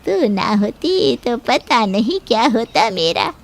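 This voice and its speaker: A woman voicing a doting, gossipy middle-aged mother-in-law in a Hindi cartoon, gushing with flattery. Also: a little high, slow, gravelly, full character voice. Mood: gushing, fond.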